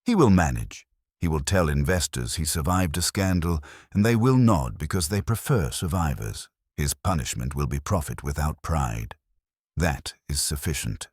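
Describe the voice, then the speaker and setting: A man's voice: extremely low pitch, lively intonation, moderate pace, darkish tone, husky, dry recording, clean studio sound, exaggerated voice. A man voicing a brilliant, stern, pompous detective in an audiobook, speaking in formal, terse pronouncements. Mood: cool, scornful.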